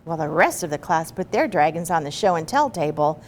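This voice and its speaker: A woman giving an expressive, chatty and encouraging read-aloud of a children's picture book, with asides to the listeners. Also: low-pitched, a little fast, a little hiss or hum. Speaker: story reader.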